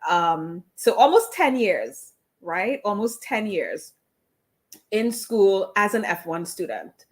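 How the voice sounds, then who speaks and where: A woman's voice: medium pitch, sing-song, slow. A woman with a slight Trinidadian accent, an immigration attorney speaking candidly in an interview, often restarting her phrases over a video call. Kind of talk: conversational interview answers.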